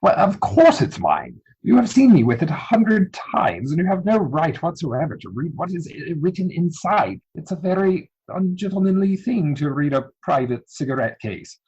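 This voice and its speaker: Dull lo-fi video-call audio of a man acting an earnest, easily flustered young gentleman. He speaks formally in a fairly high, husky voice, as in a mannered period stage comedy. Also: sing-song, normal speed, few pauses. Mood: indignant, evasive. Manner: formal, mannered, indignant delivery.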